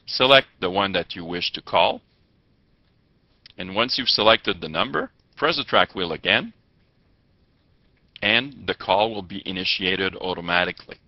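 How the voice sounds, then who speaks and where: A man giving step-by-step tutorial narration as an instructor, with halting delivery and formal wording. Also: low voice. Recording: muffled, echoey lo-fi room recording.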